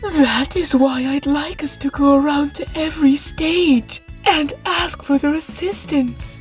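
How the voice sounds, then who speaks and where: Casting: woman as polite young male anime show director, high-voiced, unhurried, deliberate and quietly scheming. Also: faint music behind. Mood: measured, earnest. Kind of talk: anime fan dub acting.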